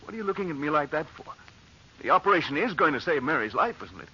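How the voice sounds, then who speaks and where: A desperate husband in an old radio melodrama. His high, husky voice is agitated and demanding, swinging widely as he insists. The recording is muffled vintage radio. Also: big pitch swings, quick pace, bright, forceful tone, heavily acted. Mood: alarmed, demanding. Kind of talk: 1940s radio drama acting.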